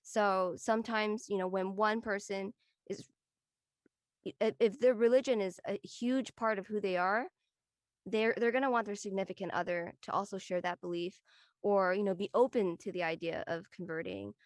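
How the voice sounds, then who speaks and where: A woman's voice: medium pitch, moderate pace, dry recording, studio quality. A woman giving her opinions in a casual discussion, explaining in an emphatic, conversational way. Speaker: panelist.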